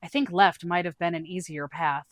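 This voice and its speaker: A woman's voice: on the low side, fairly even intonation, normal speed, no echo, studio quality, close to an everyday voice. A woman voicing a friendly, inquisitive rival adventurer in a fantasy story, earnest and a little suspicious. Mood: curious, probing.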